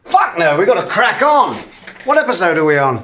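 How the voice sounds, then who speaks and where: A man's voice: sits high, big pitch swings, fairly quick, bright, forceful tone, a little hiss or hum, full character voice. A man playing a harried middle-aged radio producer, flippant, sarcastic and evasive. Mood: wry. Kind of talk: naturalistic screen comedy-drama acting. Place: small office.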